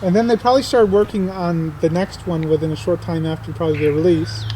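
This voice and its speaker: High-voiced young man over vehicle noise. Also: fairly even intonation, fast. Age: late 20s. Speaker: vlog host. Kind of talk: casual chat.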